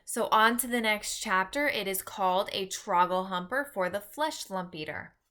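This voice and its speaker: A woman reads a children's story aloud. Her voice is bright and forceful, sitting at a middle pitch. She narrates expressively, in a scripted storytelling style. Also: moderate pace. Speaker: storybook reader.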